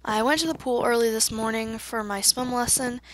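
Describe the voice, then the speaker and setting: A woman's voice: fairly high pitch, steady tone, normal speed, fairly bright tone, slight background noise, rough recording. A woman with a slightly congested voice giving chatty, playful commentary as she hosts a gaming video. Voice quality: slightly congested, stuffy nose. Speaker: gaming channel host. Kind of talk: casual let's play commentary.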